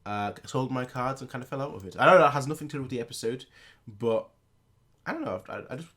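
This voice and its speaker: A young man chats loosely to his viewers as a reaction host. His voice sits at a middle pitch, and his talk wanders, full of filler words and restarts. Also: animated, fast, fairly bright tone. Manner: rambling, heavy use of filler words and restarts. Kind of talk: casual rambling chat.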